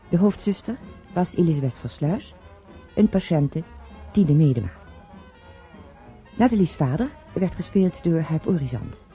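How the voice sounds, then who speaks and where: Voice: medium pitch, dark tone. An announcer reading out the credits of a radio play in a scripted style, on a dull recording.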